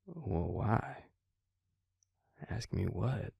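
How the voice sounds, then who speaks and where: A man playing a gentle, protective vampire with a Southern US accent in an intimate audio roleplay, speaking in a breathy, gravelly, soothing half-whisper. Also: fairly low pitch, very animated, extremely slow, no echo, lo-fi sound, exaggerated voice. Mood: puzzled. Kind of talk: intimate scripted audio roleplay, addressing the listener.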